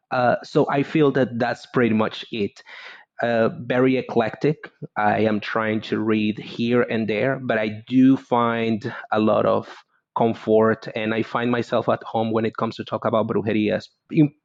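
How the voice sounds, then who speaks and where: A man's voice: medium pitch, no room sound, dull audio. A man from Venezuela with a Spanish accent, a guest in casual conversation, often hesitating and searching for words. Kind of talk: casual chat.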